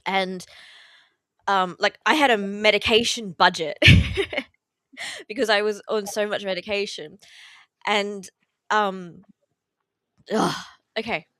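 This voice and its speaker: A woman's voice: mid-range voice, slow pace, long pauses, fairly bright tone, dry recording. Tearful chatty woman. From Australia. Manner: chatty, many fillers, laughs through emotion. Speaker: podcast guest. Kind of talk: casual interview chat. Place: relative's house, indoors.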